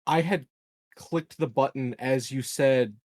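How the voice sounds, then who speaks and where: A man's voice: mid-range voice, slow pace, a little mellow, dry recording. A man talking casually as a player in a tabletop game.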